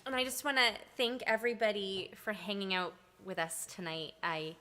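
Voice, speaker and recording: A woman's voice: mid-range voice, animated, moderate pace, pushed and bright. A woman from Massachusetts, a singer-songwriter, chatting on a livestream video call in a bubbly, giggly way. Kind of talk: casual chat on a livestream.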